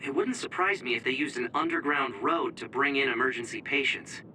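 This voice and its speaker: A man's voice: medium pitch, fairly quick, sharp tone, a little hiss or hum. A man playing a casual, plainspoken young mission-support operator in an anime-style game. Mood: concerned. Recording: slightly distant voice over music.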